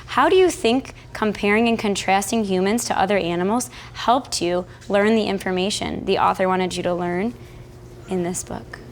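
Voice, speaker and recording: A woman's voice: medium pitch, moderate pace, a little hiss or hum. A woman kindergarten teacher explaining lessons in an instructional way and talking through a picture book. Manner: instructional, repeats and expands on answers. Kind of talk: explaining teaching and leading an interactive read-aloud.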